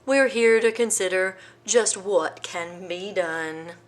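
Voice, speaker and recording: A woman doing a slow, wise elephant. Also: mid-range voice, lightly acted. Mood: deliberate. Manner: slow, deliberate and reassuring. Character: wise, steady male elephant.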